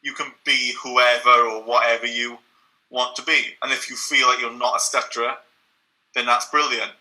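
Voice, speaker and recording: Earnest young Englishman, bright voice. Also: medium pitch, moderate pace, low-quality recording. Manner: steady, earnest, with occasional repeated words and restarts. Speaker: interview guest. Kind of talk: reflective conversational interview.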